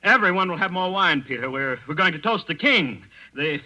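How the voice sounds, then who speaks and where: An actor playing a nervous, domineering count who fears death, stammering, agitated and mocking in 1940s radio drama style. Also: male voice, high voice, lively intonation, fairly quick, sharp tone, exaggerated voice. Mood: agitated, rambling. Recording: muffled vintage radio recording.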